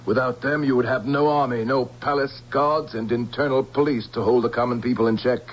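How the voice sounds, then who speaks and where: A man playing a grave, resolute general in a radio drama, speaking in a measured, insistent, emphatic way. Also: medium pitch, steady tone, lightly acted. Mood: firm, urgent. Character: grave, resolute male general of a future army. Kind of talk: theatrical radio drama acting. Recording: dull, hissy old radio recording with hum.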